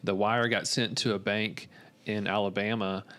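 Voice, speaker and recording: A man in a casual podcast conversation, telling things in a halting, pausing way. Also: lowish voice, level tone, a bit bright.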